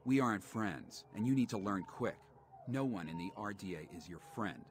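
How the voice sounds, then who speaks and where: An actor playing a gruff, hostile boss in a video game, curt and condescending toward newcomers. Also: male voice, fairly low pitch, animated, normal speed, a little hiss or hum, lightly acted. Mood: scornful. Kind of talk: dramatic video game dialogue.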